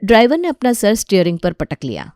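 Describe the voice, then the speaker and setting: A woman narrates a scripted family story. Her voice sits at a medium pitch. The recording is close and dry, without room sound. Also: wildly swooping pitch, brisk, darkish tone, dry recording.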